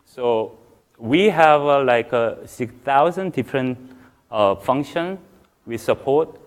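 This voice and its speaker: A man in his forties with a Korean accent, a native Korean speaker giving a halting technical talk on a conference stage, with many fillers. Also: mid-range voice, lively intonation. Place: large conference hall stage.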